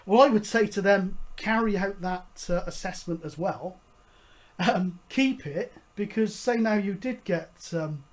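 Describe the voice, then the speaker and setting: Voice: medium pitch, darkish tone, low-quality recording. A host explaining things casually, in a hesitant, rambling, matter-of-fact way. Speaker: host of a hobby CB radio show.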